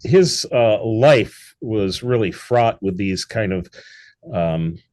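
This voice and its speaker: A man's voice: lowish voice, lively intonation, slow pace, a little mellow. A middle-aged man, a theatre founder, chatting as an interview guest, rambling with many restarts and fillers. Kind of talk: casual interview chat.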